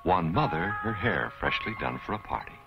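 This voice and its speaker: A man's voice: low voice, very animated, a little fast, vocal fry. A man reading a vintage commercial voice-over as an announcer, with intimate, playful sales patter. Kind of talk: vintage hairspray advertisement voice-over. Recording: dull vintage commercial with mallet music.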